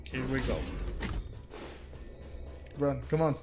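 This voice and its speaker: Slow, halting man gaming. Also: medium pitch, steady tone, darkish tone. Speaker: game streamer. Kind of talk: casual let's-play commentary. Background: game music.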